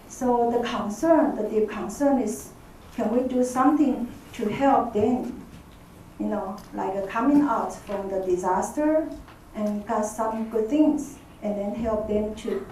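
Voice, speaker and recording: Taiwanese lady professor giving a talk, kinda high soft-toned voice, hesitant, really echoey room. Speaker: professor presenting at an academic workshop. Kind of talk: conference presentation, semi-spontaneous. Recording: echoey room, distant mic, faint noise.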